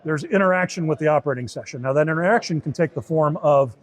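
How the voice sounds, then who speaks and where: Man, slightly high pitch, informal demo talk, step-by-step explaining. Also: quick pace. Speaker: beta test supervisor giving a product demo.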